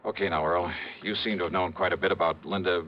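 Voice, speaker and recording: An American actor playing a brisk, probing insurance investigator in a 1950s radio drama, clipped and thinking aloud. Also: male voice, deep voice, fairly even intonation, crowd noise, natural voice. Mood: urgent, probing. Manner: clipped, urgent, thinking aloud in broken phrases. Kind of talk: 1950s American radio detective acting with first-person narration. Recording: dull vintage radio recording, music underneath.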